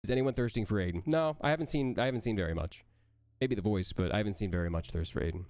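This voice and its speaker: A man's voice: on the low side, fast, darkish tone, dry recording. A young man, a voice actor, reading out and answering chat questions on a livestream, with a nasal voice that sounds like he has a cold, playful and joking.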